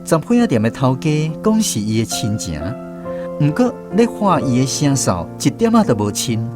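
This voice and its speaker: An actor narrating as a former conscript in a radio drama, telling scripted first-person memories with a Taiwanese accent. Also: male voice, mid-range voice, very animated, normal speed, close to an everyday voice. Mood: reflective. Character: wry former conscript narrating his island army memories. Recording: music bed under narration.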